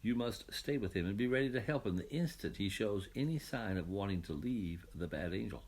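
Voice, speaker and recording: Storybook God, played by a male reader: calm gentle authority, lively intonation, slightly rough low voice, explaining patiently. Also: brisk. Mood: thoughtful, firm. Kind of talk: children's Bible storybook reading.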